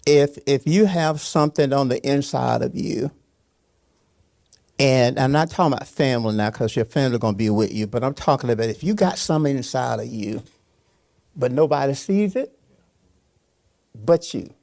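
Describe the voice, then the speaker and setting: A man's voice: mid-range voice, normal speed, creaky, long pauses, a little mellow. An older man speaking off the cuff as a panelist, driving points home with short, repeated phrases like a preacher. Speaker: panelist, pastor. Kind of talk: unscripted motivational panel talk.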